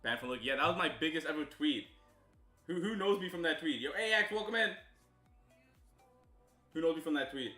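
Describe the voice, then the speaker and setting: A young man from Canada chats casually with his stream audience in a bright, forward voice. He mumbles along and then erupts into loud greetings. The room sounds echoey, with faint music underneath. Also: fairly high pitch, brisk, pauses often. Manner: loose and chatty, bursts of loud excitement. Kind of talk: casual stream chat with viewers.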